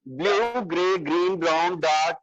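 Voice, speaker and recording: Male student, Indian accent, unhurried delivery, deferential, muffled and roomy online class recording. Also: a little high. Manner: deferential, addresses teacher as sir. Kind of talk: answering and reading aloud in online class. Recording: dull, echoey online call audio.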